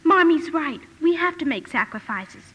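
Gentle, high-voiced young sister. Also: female voice, expressive, normal speed. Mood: gentle, earnest. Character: gentle, uncomplaining, peace-making young sister. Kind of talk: 1950s radio drama acting. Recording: vintage radio broadcast.

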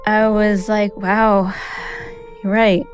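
A young adult woman, raised speaking Mandarin first, telling a personal story in a candid, reflective way with soft laughs. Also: mid-range voice, level tone, slow, faint music behind. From United States. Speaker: interviewee, newsletter writer.

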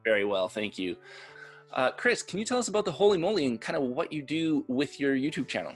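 A man's voice: medium pitch, big pitch swings, brisk, faint music behind. A man with a Canadian accent, speaking as the host of a podcast. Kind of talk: scripted intro and podcast interview.

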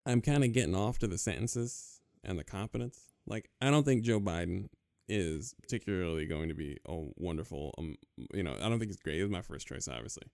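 Man co-host, smooth low voice, dry close sound, halting and filler-heavy. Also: a little mellow, dry recording. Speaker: podcast co-host. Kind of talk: casual podcast chat.

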